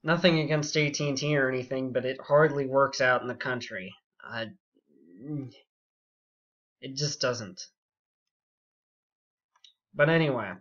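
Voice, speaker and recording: Slow, halting young man, muffled audio. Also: medium pitch, a bit bright. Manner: rambling, halting, full of asides. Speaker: YouTube storyteller. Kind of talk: casual unscripted storytelling.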